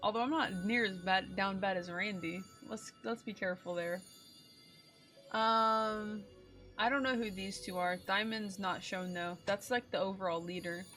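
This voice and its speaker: Bright-voiced excitable woman streamer. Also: mid-range voice, moderate pace, faint music behind. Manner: excitable, slangy, swearing. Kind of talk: casual game playthrough commentary.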